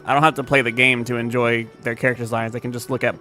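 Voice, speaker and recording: A young man talks loosely to his stream viewers in a bright, forceful voice, swearing casually and trailing off into fillers and restarts. Faint music plays underneath him. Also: mid-range voice, level tone, racing. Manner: laid-back and profane, with frequent fillers and laughs. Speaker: game streamer.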